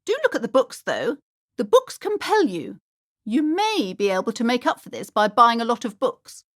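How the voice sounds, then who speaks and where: Haughty shopkeeper mother, high voice, extremely animated intonation, theatrical, judgmental, superstitious, high-strung, video game character. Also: normal speed. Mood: pushy, ingratiating. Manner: theatrical, imperious, swooping between sweet and scolding.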